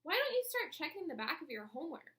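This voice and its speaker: A woman's voice: sits high, very animated, fast talker, fairly bright tone, pitched above the performer's own voice. A woman voicing a firm but kindly female schoolteacher, stern yet encouraging, in a children's storybook. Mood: patient. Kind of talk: children's storybook read-aloud.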